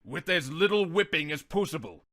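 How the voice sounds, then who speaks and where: A man's voice: fairly high pitch, lively intonation, moderate pace, fairly bright tone. A man voicing a befuddled, blustering old male judge in a video game fan dub, stammering and easily flustered. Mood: formal.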